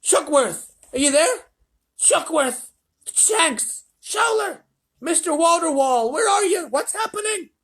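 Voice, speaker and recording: A man voicing a blustering, self-important President who barks excitable, commanding exclamations in a children's story. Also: sits high, normal speed, voice pushed up, full character voice. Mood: frantic, snapping.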